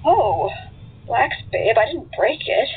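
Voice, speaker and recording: A woman playing a bold, hard-partying flirt in an audio drama, teasing and brazen, very raspy, on tinny audio. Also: on the high side, sing-song, measured pace, slight background noise. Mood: defiant. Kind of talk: naturalistic audio drama acting. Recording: tinny phone-like sound with music behind.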